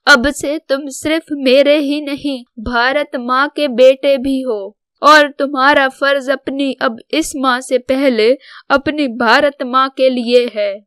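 A woman voicing a proud, devoted older mother in a cartoon story, solemn and measured. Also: high voice, fairly even intonation, a bit bright, no echo, clean studio sound. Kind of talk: scripted Hindi cartoon story dialogue.

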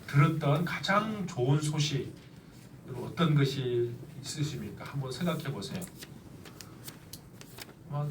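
A middle-aged male pastor preaching a sermon, his voice slightly nasal from a cold, earnest and slowing down for emphasis. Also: on the high side, noisy background. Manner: earnest, with slow emphatic stretches. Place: church sanctuary. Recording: echoey church, mic at a distance.

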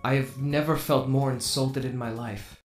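A man's voice: mid-range voice, steady tone, measured pace, roomy sound, music in the background, lightly acted. A man voicing an exasperated, vain robot celebrity with a theatrical, put-upon manner in a fan comic dub. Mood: dryly polite.

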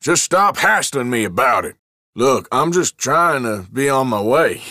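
An actor playing an irritable, wary Old West outlaw in a video game, with a rural American drawl and a folksy, sardonic, defensive manner. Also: male voice, mid-range voice, sing-song, unhurried, sharp tone, dry recording, clean studio sound. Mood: exasperated. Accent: American, rural Western drawl. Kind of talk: game voice lines, short barks.

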